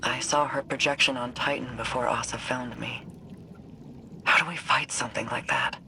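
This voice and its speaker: A stubborn, battle-hardened soldier from a video game voiced by a man. The mid-pitched voice is blunt and guarded, set against clearly noisy ambience. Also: steady tone, normal speed, natural voice. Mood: uneasy.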